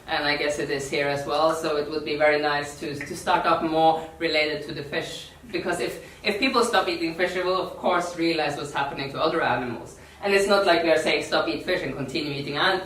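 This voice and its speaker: A woman's voice: low voice, fairly bright tone, a little hiss or hum. Norwegian woman speaking in an echoey room. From Norway. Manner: halting, repeats words, non-native English. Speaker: activist workshop speaker. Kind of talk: informal workshop talk. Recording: echoey room, distant mic.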